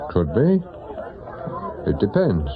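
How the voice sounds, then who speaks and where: An actor playing a reluctant, reasonable investigator in a radio drama, English-accented, with a hesitant and conciliatory manner. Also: male voice, lowish voice, wildly swooping pitch, slow, phone-quality sound, a crowd in the background, full character voice. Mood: guarded. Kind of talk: naturalistic radio drama acting.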